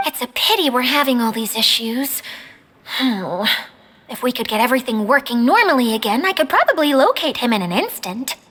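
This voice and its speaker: A woman's voice: fairly high pitch, lively intonation, a little fast, fairly bright tone, a little hiss or hum. An actress voicing a shy, eager female caretaker mascot in a video game, who talks in a nervous, self-conscious, apologetic way. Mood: fretful. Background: game music.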